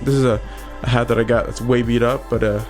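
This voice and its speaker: A man's voice: mid-range voice, fairly even intonation, fast talker, faint music behind. A young adult man hosting a casual vlog from his small home office studio, talking in an upbeat, rambling way with many fillers and restarts. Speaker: vlogger.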